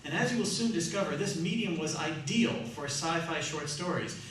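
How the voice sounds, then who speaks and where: A man's voice: fairly high pitch, expressive, moderate pace, fairly bright tone. Male theatre host, showmanlike prepared introduction, distant mic, strong room echo and faint noise. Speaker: host of a live radio theatre troupe. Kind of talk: prepared stage introduction to audience. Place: large echoey room, live performance.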